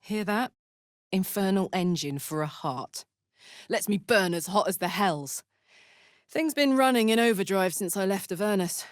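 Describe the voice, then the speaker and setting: A woman's voice: medium pitch, measured pace, no room sound, natural voice. A woman voicing a brash, fiery horned warrior woman in a video game, talking bluntly and informally like a soldier, recorded dry. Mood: somber. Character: brash, fiery female devil-horned warrior with an infernal engine heart. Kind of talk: video game character voice lines.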